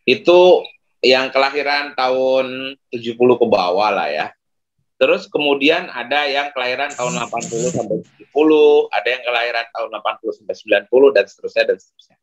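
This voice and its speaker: A man in his forties, an Indonesian facilitator, explaining digital marketing on a video call, with many pauses and fillers. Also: mid-range voice, low-quality recording.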